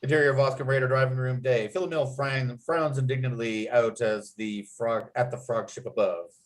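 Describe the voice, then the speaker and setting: A male narrator with a medium-pitched voice reads out screenplay action lines. He delivers scene headings and descriptions as part of a script table read. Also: fairly even intonation, moderate pace. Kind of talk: reading screenplay stage directions at a table read.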